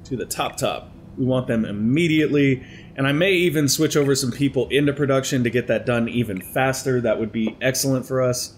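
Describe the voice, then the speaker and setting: A man's voice: medium pitch, fairly quick, slight background noise. A young man playing a strategy game on a headset mic, slightly congested from allergies, talking through his moves aloud in a chatty way. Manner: chatty, thinking aloud while planning moves. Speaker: gaming commentator. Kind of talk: let's play strategy game commentary.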